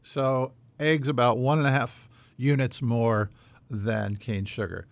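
A man's voice: mid-range voice, fairly even intonation, takes it slowly, creaky, no room sound. A man hosting a conversational quiz segment on a podcast. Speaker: podcast co-host running a quiz.

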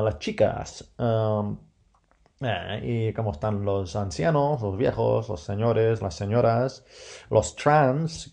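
Male podcast host, non-native Spanish speaker, gravelly and dark-toned, hesitant and casual. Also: on the low side. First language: not Spanish. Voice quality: gravelly, hesitant with many fillers and self-corrections. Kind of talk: casual monologue in a learner's Spanish.

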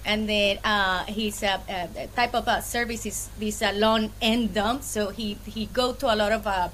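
A woman's voice: medium pitch, fairly even intonation, normal speed, fairly bright tone, a little hiss or hum, rough recording. A woman from Puerto Rico with a Puerto Rican accent, chatting casually as a guest in an interview. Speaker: podcast guest, freight brokerage owner.

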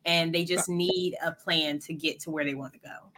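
A woman's voice: deep voice, steady tone, normal speed, noisy background. A woman, a financial coach, answering interview questions earnestly and explaining things plainly, with frequent ums and likes.

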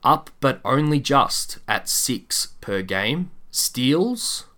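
A man hosting a sports podcast, chatting and reading out prepared stats in a measured, deliberate quizmaster way. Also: medium pitch, sing-song, bright, forceful tone.

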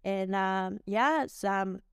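A woman in her forties from Japan, speaking English with a Japanese accent as a podcast guest, warm and laughing often, with plenty of "um" and "like". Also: medium pitch, slow, clear, resonant tone, no echo, studio quality. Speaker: guest, dance instructor. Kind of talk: casual interview.

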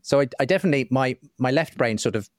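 A man's voice: medium pitch, fast, no room sound, studio quality. A British man answering interview questions in a thoughtful, articulate, conversational way, often hedging as he goes. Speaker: guest, writer and broadcaster.

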